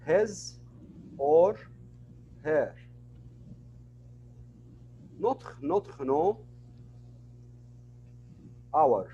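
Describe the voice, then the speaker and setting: A male teacher leads an online class through a computer mic. His voice sits a little high, and he speaks at a very unhurried pace. He patiently repeats each word and example for his students. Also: warm tone, highs cut off, slight background noise.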